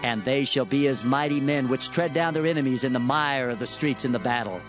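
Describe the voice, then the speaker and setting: Man acting a solemn, higher-voiced proclaiming prophet over music. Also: fairly quick, close to an everyday voice. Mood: grave, forceful. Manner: declamatory, archaic diction. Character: male prophet solemnly proclaiming divine oracles. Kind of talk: dramatized scripture reading.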